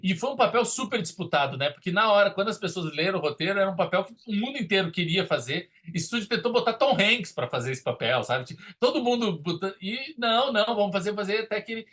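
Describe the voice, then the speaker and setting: A man's voice: high voice, lively intonation, quick pace, hardly any pauses, a bit bright. A man with a Brazilian accent co-hosting a film podcast, talking casually in an enthusiastic, rambling way.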